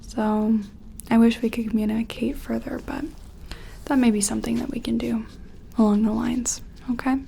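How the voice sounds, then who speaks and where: A woman playing a gentle, curious scientist in an ASMR roleplay, speaking softly and tentatively in a breathy, often whispery, soothing voice. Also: on the high side, flat delivery, a little hiss or hum. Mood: apologetic, reassuring. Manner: soothing, tentative, apologetic, hesitant pauses. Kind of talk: soft-spoken ASMR roleplay.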